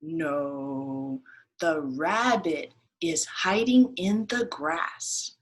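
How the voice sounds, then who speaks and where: Storybook contrarian played by a woman: stubborn, firm, lower register, drawn-out delivery. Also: slight background noise. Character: stubborn, contrary arguer who sees a rabbit.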